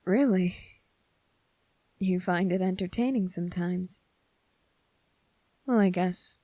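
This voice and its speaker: Kind young male cadet female performer, ASMR roleplay. Slightly low, dark soft tone, unhurried, polite, hesitant, reassuring. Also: no echo. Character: kind, earnest teenage boy military cadet, soft-spoken and caring.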